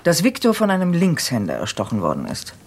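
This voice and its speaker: A woman's voice: sits low, huge pitch swings, fairly quick, a little mellow, breathy edge, heavily acted. An actress playing a composed, matter-of-fact woman with an authoritative manner in a radio crime drama. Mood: clinical, composed. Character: composed, matter-of-fact sister with medical knowledge.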